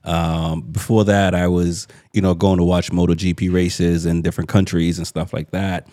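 Deep-voiced man hosting a casual chat. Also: little pitch movement, normal speed, warm tone, no echo, studio quality. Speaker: show host.

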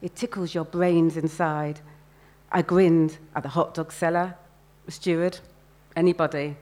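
A woman's voice: low voice, fairly even intonation, unhurried, darkish tone. A woman, an author reading first-person fiction aloud expressively at a live event.

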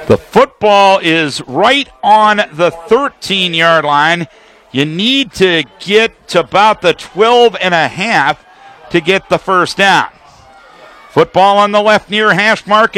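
Man, American accent, football radio announcer, high forceful voice, detailed running call. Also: a little slow. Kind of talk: live American football commentary.

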